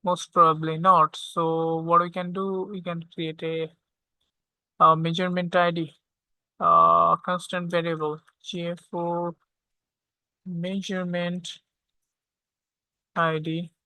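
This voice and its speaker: A tutorial instructor walking through software step by step, often checking in with a short questioning tag. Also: medium pitch, level tone, slow pace, pauses often, clean tone, no echo, rough recording. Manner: checks understanding with frequent "okay?".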